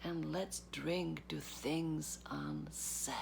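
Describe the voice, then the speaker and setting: Very slow, gravelly low-voiced woman reading poetry. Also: fairly even intonation, a little mellow, slight background noise. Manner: deliberate, measured phrasing with long pauses. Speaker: artistic director of a theatre, reading aloud.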